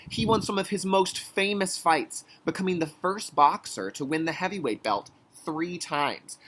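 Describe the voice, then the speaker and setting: A man who works as a kindergarten teacher, leading a read-aloud lesson with deliberate enunciation and an instructional manner for young children. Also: fairly high pitch, sharp tone.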